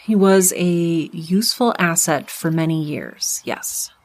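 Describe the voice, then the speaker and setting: An actress playing a composed middle-aged female intelligence director in an audio drama, measured and earnest, with an evasive streak. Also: lowish voice, animated. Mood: evasive, cool.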